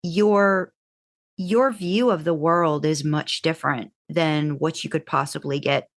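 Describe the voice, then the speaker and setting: A woman's voice: sits low, steady tone, unhurried, no room sound, clean studio sound. A woman co-hosting a casual podcast interview, agreeable and thinking aloud, with many mm-hmms. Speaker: podcast co-host.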